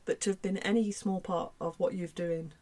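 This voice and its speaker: A woman co-hosting a podcast in casual chat, thanking listeners warmly and effusively, with many hesitant fillers. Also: lowish voice, fairly even intonation, normal speed, a little hiss or hum. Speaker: podcast co-host.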